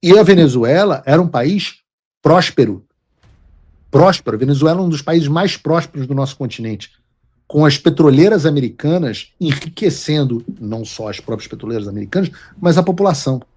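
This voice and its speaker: Husky-voiced male political commentator. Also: on the high side, expressive, a little fast, a little mellow. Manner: emphatic, lecturing, with restarts. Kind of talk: opinion commentary.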